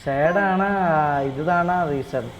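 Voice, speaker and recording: A man streaming a game live, chatting casually, low on energy and sick with a cold. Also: takes it slowly, big-room sound. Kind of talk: casual in-game roleplay chat on a livestream. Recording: noisy game livestream audio.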